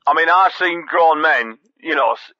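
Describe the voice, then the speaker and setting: A man's voice: fairly high pitch, moderate pace, pushed and bright, no echo. A man playing a big, menacing police officer with a northern English accent, emphatic and repetitive, calling a phone-in. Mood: indignant. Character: huge, indignant, menacing male police officer. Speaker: phone-in caller. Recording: dull band-limited phone call.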